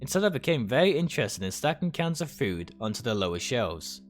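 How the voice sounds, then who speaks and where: A man reading first-person game narration aloud dramatically, in short, deliberate phrases. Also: medium pitch, very animated. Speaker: game streamer reading a visual novel aloud. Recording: music behind the voice.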